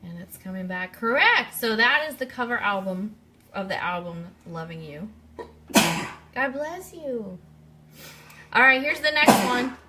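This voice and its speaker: Bright-voiced woman hosting a live quiz. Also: a little high, animated, a little slow, pauses often, slight background noise. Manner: chatty, interactive, addresses listeners directly. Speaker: radio show host.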